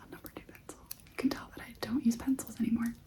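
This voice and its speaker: A woman hosting ASMR in a breathy whisper, talking gently and directly to the listener and repeating phrases. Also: on the high side, flat delivery, fast. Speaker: ASMR host.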